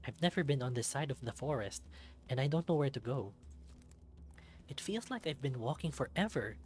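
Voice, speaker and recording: A man reading a visual novel aloud as narrator, in an expressive, dramatic storybook style. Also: medium pitch, normal speed, slight background noise.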